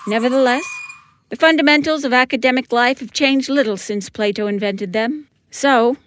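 A woman's voice: sits high, moderate pace, a bit bright, a little hiss or hum. A woman reading scripted comic narration with theatrical, wry emphasis. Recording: music behind the voice.

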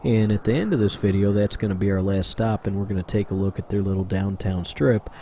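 A man's voice: lowish voice, fairly quick, warm tone, a little hiss or hum. A man doing casual voice-over narration for his own exploring video, rambling and chatty with plenty of fillers. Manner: rambling, conversational, with many fillers. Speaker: urban explorer vlogger.

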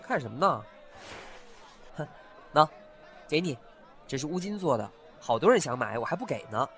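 A man playing a chatty, animated young shop owner. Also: fairly high pitch, moderate pace. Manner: talkative, lively, muses aloud to himself. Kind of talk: fan audio drama acting.

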